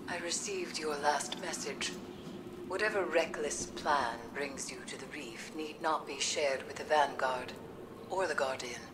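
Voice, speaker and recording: A woman playing a grave, haunted mystic in a video game, measured and solemn. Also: low voice, background noise. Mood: solemn, concerned. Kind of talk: scripted game dialogue. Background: music.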